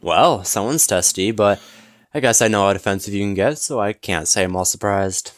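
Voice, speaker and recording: A man playing a smug, flirtatious businessman in an audio roleplay, teasing and smooth-talking with mock politeness. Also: fairly low pitch, moderate pace, no room sound, studio quality, close to an everyday voice. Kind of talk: scripted audio roleplay acting.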